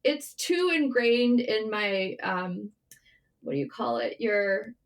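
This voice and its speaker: A woman speaking as a writer guest in a casual, candid interview, informal and animated in manner. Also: mid-range voice, slow. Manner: animated, informal, swearing, self-deprecating.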